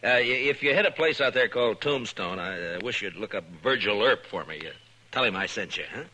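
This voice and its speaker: An actor playing a steady, laconic frontier marshal with dry humour and a husky voice in a 1950s radio Western. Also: male voice, on the low side, fairly quick, dull audio, slight background noise. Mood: affable. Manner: laconic, dryly teasing.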